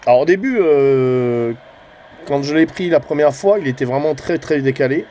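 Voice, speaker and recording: A man's voice: fairly high pitch, normal speed, warm tone, a little hiss or hum. A man giving a casual, rambling hobbyist vlog commentary, hesitant and often trailing off with little tag words. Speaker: amateur CB radio hobbyist and channel host.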